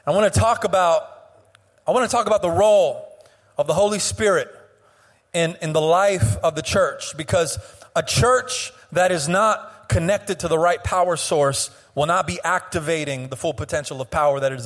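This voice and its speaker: High-voiced hoarse man preaching in a hall. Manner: impassioned preaching with sung, drawn-out phrases and frequent restarts. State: slightly hoarse. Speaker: pastor. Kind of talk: sermon. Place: church sanctuary.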